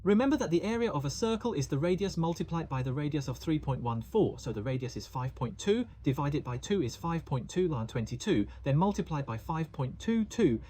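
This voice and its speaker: Mellow-voiced man explaining diamond prices. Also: mid-range voice, a little hiss or hum. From Hong Kong. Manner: chatty, asks the viewer questions. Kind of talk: explaining price charts to camera.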